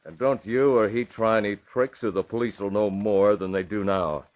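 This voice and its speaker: An actor playing a commanding, calculating masked crime-fighter, crisp and confident, in a 1940s-style radio drama. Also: male voice, on the low side, steady tone, normal speed, close to an everyday voice. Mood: firm, menacing. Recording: dull lo-fi old radio recording.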